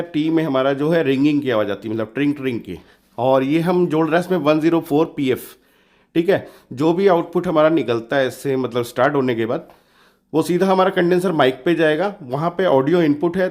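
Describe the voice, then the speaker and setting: A man hosts a hands-on tutorial in a fairly high-pitched voice that sounds a little hoarse. He talks casually and informally, walking through each step and checking in with reassurance as he goes. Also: darkish tone. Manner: informal, explanatory, often adds reassuring check-ins. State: slightly hoarse from a sore throat. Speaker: DIY electronics tutorial host.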